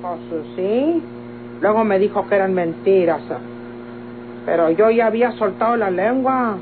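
On a thin, humming old radio recording, an actress plays a young Mexican country woman. She speaks in a plain, folksy, rueful way at a middling pitch, as a resigned daughter in a radionovela. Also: unhurried, phone-quality sound, background noise, close to an everyday voice. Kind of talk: golden-age Mexican radionovela acting.

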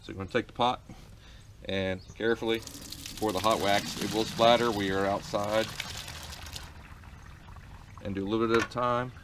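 A middle-aged man with a lowish voice walks through a beekeeping chore outdoors, rambling and restarting his sentences as he goes. He is picked up by a camera mic in a farmyard, with faint outdoor ambience behind him. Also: little pitch movement, moderate pace, long pauses, noisy background. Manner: rambling, many fillers and restarts. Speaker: beekeeper showing a how-to. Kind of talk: casual how-to demonstration.

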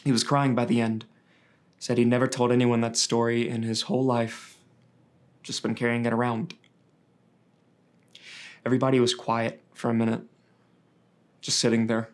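A young man delivers a first-person testimonial as a read monologue. His voice sits at a middle pitch. He tells it conversationally, with small hesitations. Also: level tone, moderate pace, long pauses. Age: young adult. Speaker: reader of a survivor's story. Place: indoors against a dark backdrop.

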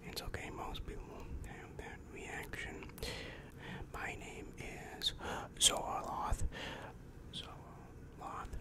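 Young man whispering as a weary demon counselor. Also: lightly acted. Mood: weary, placating. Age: young adult. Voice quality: breathy whisper. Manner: slow, repetitive, patronizing reassurance. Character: weary, exasperated male demon guidance counselor in hell. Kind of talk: ASMR roleplay. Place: small dim room indoors. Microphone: large microphone very close to mouth.

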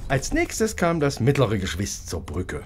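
A man narrating a scripted fairy-tale parody in an expressive, mock-earnest storybook manner. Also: mid-range voice, moderate pace, slight background noise.

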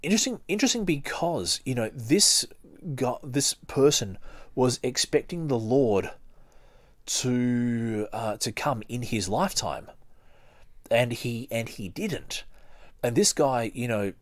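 A man hosting a podcast, reading aloud and commenting casually in a halting, rambling way. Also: mid-range voice, lively intonation, no echo. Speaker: podcast host.

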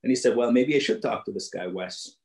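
A man's voice: medium pitch, brisk, darkish tone, echoey room. A middle-aged man from Jamaica with a Jamaican accent, telling a personal story to young people in an earnest way over a video call from his home office. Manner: earnest storytelling, repeats phrases for emphasis. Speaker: guest speaker.

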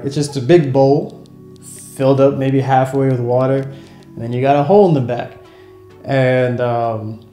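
A man's voice: mid-range voice, mellow. A young American man chatting casually to camera in a laid-back, lightly amused way, recorded indoors at home. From United States. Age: young adult. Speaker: vlogger. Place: small room in a home, indoors. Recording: roomy echo, music behind.

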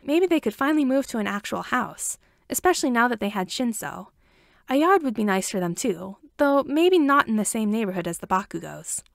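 Woman reading a story out loud, kinda high voice, really up and down, dry sound. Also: lively intonation, fairly quick, creaky, no echo. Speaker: amateur audiobook narrator. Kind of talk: scripted story narration.

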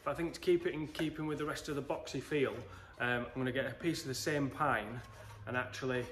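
Chatty man in an echoey workshop. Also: mid-range voice. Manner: chatty, relaxed, addresses viewers as guys. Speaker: woodworking channel host. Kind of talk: casual workshop tutorial. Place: indoor woodworking workshop. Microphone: handheld phone camera, moving. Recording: echoey workshop with faint noise.

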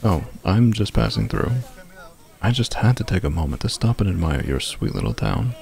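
A man acts a polite, charming wanderer in an audio drama. His voice is deep with a gravelly fry and moves with lively intonation. He speaks with suave courtesy. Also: moderate pace, exaggerated voice. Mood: courteous, charming. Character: smooth, courteous, charming male stranger passing through. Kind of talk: audio roleplay acting.

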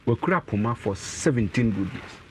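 A Ghanaian man in his thirties, an herbal medicine representative, explaining with animated gestures in a studio interview. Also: medium pitch, brisk, a little hiss or hum. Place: TV and radio studio.

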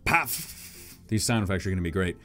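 A man's voice: on the low side, expressive, fast, darkish tone, soft background music. A man in his thirties hosting, giving casual commentary on a comic reading into a headset. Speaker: video host.

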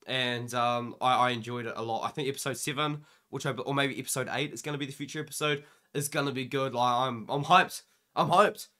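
A sick young man, losing his voice, giving an excited, rambling casual reaction vlog into a webcam indoors. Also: medium pitch, fairly quick. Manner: excited, rambling, says "man" and "dude" a lot. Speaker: MMA YouTuber.

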